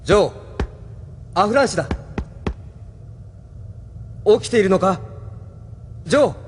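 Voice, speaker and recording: Earnest, expressive young pilot. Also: male voice, sits high, a little fast, slight background noise. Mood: urgent, concerned. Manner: earnest, emotional. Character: earnest, troubled young male hero pilot. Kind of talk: Japanese audio drama acting.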